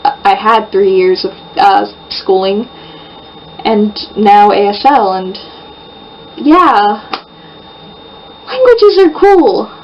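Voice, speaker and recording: A woman's voice: mid-range voice, expressive, extremely slow, long pauses. A young American woman in her twenties chatting casually to camera in a rambling way, full of fillers. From United States. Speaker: vlogger. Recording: muffled distant home-video sound, faint hiss.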